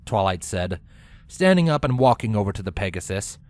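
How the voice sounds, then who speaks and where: A man's voice: fairly low pitch, very animated, fairly quick, darkish tone. A man reading scripted story narration as the narrator of a tale.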